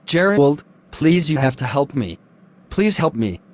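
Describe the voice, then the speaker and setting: A man's voice: on the high side, big pitch swings, measured pace, a little mellow. A young man, acting a desperate student who keeps begging his friend for help. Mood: pleading. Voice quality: begging, insistent pleading. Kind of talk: amateur drama acting.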